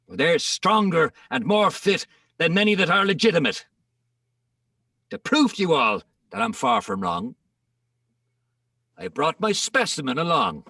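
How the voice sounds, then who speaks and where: A man's voice: sits high, creaky, bright, forceful tone, no echo, exaggerated voice. A man playing a boastful old man pleading before a court, in a declamatory dramatic reading of comic verse, recorded dry and slightly distant. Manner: declamatory, rhythmic, emphatic.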